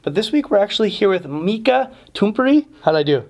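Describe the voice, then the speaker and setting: A man hosting a casual university interview, chatty and quick to laugh. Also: high-pitched, sing-song, darkish tone. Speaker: host.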